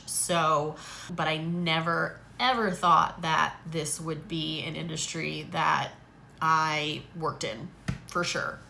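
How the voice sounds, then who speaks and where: A woman talks straight to the camera, loose and candid, often breaking into a laugh. Her voice sits fairly low yet sounds bright and forward. A faint hiss of background noise runs under the recording. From New York. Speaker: photographer and content creator. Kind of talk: casual Q&A to camera.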